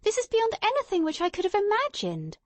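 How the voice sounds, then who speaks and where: A woman reading the part of an earnest, easily astonished gentleman companion in an audiobook, formal and emphatic. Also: very high voice, huge pitch swings, a little fast, no echo, pitched above the performer's own voice, heavily acted. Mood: amazed.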